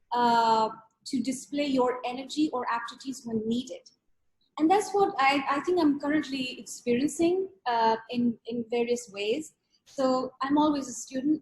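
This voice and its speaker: A woman's voice: high voice, darkish tone, poor audio. A woman from Pakistan, an artist, speaking over a video call and explaining her work in an earnest way, often repeating words for emphasis. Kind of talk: reflective interview talk.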